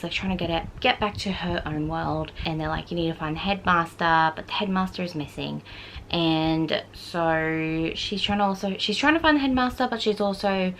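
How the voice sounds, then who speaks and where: A woman's voice: fairly low pitch, fairly bright tone. Young Australian woman vlogging over background noise. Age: 20s. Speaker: book vlogger. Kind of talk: casual chatty vlog talk.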